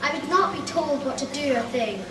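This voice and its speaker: A woman's voice: sits high, animated, a little fast. A young schoolgirl of about nine reading a children's book aloud to an audience, carefully, with small stumbles. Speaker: schoolgirl reader. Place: cafe event room, indoors. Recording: echoey room, distant, noisy with hum.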